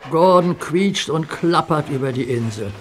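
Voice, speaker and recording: Slow, calm male storybook narrator. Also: a little high, faint music behind. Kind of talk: scripted children's story narration. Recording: hissy audio play recording.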